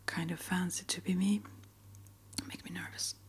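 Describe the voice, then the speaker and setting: A woman speaking in a gentle, hesitant, rambling way, as an ASMR creator chatting to her viewers. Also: fairly low pitch, fairly even intonation, moderate pace. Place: small upstairs apartment.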